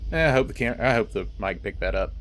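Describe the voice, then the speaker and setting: A man's voice: fairly low pitch, quick pace, rough recording. A man hosting a horror game, mixing playful, wisecracking commentary with story reading. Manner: animated, wisecracking.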